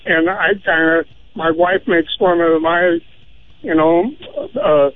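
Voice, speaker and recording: A man's voice: on the high side, fairly even intonation, slow pace. A male caller from the American South, hesitant and apologetic, talking with radio hosts. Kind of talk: phone-in chat. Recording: tinny phone line with faint noise.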